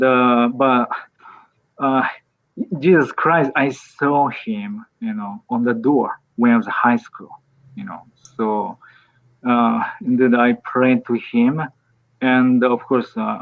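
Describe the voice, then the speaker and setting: A priest in his fifties from Japan, speaking English with a Japanese accent. He talks slowly, with many pauses and restarts. The sound is thin and tinny, like a video call. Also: medium pitch. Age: 50s. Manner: hesitant, many fillers and restarts. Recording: tinny phone-like call audio.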